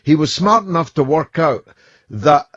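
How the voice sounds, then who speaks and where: A man's voice: on the high side, very animated, measured pace, a bit bright, no echo, highs cut off. A middle-aged Scottish man hosting a radio talk show, talking in an emphatic, forthright, rhetorical way. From Scotland. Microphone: studio microphone.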